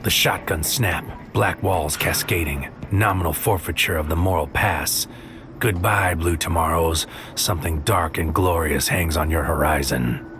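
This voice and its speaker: An actor narrating as a bitter psychic soldier, delivering poetic, clipped noir lines in a brooding manner. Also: male voice, low voice, unhurried, over music. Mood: grim. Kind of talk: noir spoken-word scripted narration.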